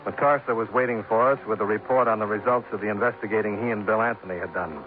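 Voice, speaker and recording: An actor playing a cool, level-headed American insurance investigator, dry and matter-of-fact in a 1950s radio detective drama. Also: male voice, fairly low pitch, quick pace, phone-quality sound, soft background music, natural voice. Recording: thin 1950s radio recording.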